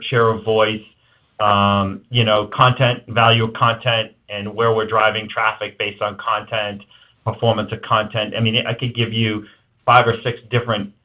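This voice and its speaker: A man speaking as an executive guest in an interview, giving emphatic, conversational advice. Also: lowish voice. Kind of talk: interview answers on marketing. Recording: dull lo-fi band-limited audio.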